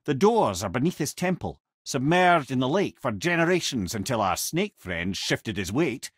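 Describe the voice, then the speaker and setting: Old Scottish sage, game character, husky, very animated pitch, wry garrulous storyteller, dry close sound. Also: male voice, normal speed, dry recording. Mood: explaining. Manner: wry, wordy, theatrical storytelling.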